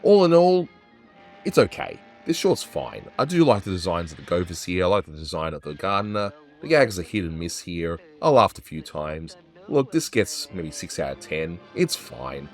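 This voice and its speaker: A man hosting a casual cartoon review, talking in a relaxed, offhand way and chuckling often. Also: sits low, moderate pace, gravelly, faint music behind. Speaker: animation reviewer, host.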